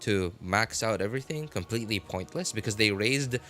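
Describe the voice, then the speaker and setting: A man hosting a game livestream, chatting casually in a halting, rambling way and often repeating and rephrasing himself. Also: fairly low pitch, expressive, a bit bright. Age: adult, college graduate. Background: music.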